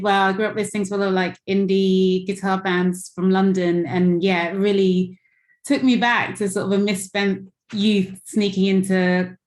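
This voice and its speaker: A woman musician talks on a video call in a loose, chatty way, full of fillers and small laughs. Her voice sits at an ordinary pitch and rings in a roomy space. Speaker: musician guest. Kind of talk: casual chat on a call.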